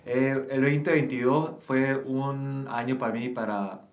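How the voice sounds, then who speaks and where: A man's voice: medium pitch, fairly even intonation, takes it slowly, echoey room. A young man from Bolivia with a Santa Cruz camba accent, chatting casually and teasingly as a podcast guest. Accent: Bolivian Spanish, Santa Cruz (camba). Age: young adult. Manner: laid-back, teasing, reflective. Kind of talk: casual chat.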